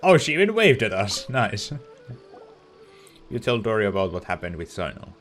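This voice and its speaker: A woman gamer giving casual, low-key, agreeable commentary as she plays. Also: sing-song, moderate pace, soft background music. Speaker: let's play streamer.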